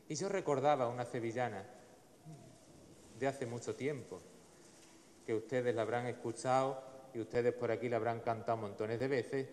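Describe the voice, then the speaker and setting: A man in his forties, a priest, reading the Gospel and preaching at Mass in a measured, solemn way into a lectern mic. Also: mid-range voice, slight background noise. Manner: measured, solemn liturgical delivery. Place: large church in front of the altar. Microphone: stand mic at the lectern. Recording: long church reverb.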